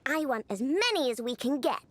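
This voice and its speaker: A woman voicing an excitable little girl inventor in a video game, bubbly, eager and bossy. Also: high-pitched, brisk, exaggerated voice. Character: excitable, greedy little girl inventor who loves machines. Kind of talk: scripted video game voice acting.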